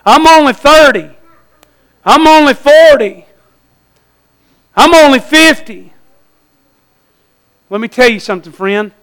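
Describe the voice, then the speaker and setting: A man's voice: very high voice, lively intonation, slow pace, pauses often, a bit bright, rough recording. A man with a Southern US accent preaching an evangelistic sermon in a folksy, exhortatory way, putting questions to his listeners and repeating phrases for emphasis.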